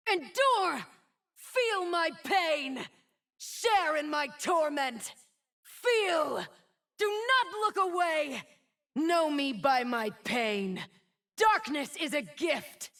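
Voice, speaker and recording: A woman's voice: high-pitched, lively intonation, fairly bright tone, full character voice. An actress playing a bitter, wounded fallen winged woman in a video game, solemn and theatrical. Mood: anguished, defiant. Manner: slow, solemn, theatrical delivery. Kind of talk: dramatic video game champion voice lines.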